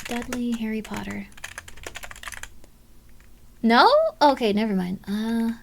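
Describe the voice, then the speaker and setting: A woman's voice: fairly even intonation, slow pace, vocal fry, a little hiss or hum. A young woman streaming live, chatting casually with viewers and reading their comments, rambling with many filler words. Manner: rambling, lots of "like" fillers, laughs often, swings up and down in pitch.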